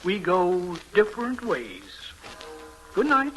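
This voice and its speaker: A kindly, cryptic old man in a vintage radio drama. His voice is high and very slow, drawling out each mysterious remark. Faint music plays behind him. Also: huge pitch swings, full character voice, poor audio. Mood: gentle, mysterious. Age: older. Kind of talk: 1940s radio acting.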